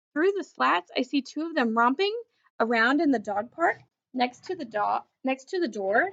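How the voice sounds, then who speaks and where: A woman reading a children's book aloud as an expressive narrator. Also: on the high side, creaky, highs cut off. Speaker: storybook reader.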